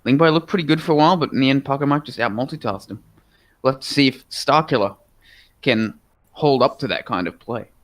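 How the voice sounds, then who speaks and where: A man's voice: medium pitch, a little fast. An Australian man giving live, chatty game commentary as a caster, upbeat and informative. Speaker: esports caster.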